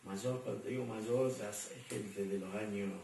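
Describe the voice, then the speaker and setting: A low-voiced man with an Argentine accent and a gravelly edge. He answers at length in a loose, rambling way, full of fillers. He sounds recorded from a distance in a very echoey room over a faint hum. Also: steady tone, unhurried, mellow. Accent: Argentine Spanish. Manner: rambling, many fillers and repeated words. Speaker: interviewee. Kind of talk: conversational interview answers.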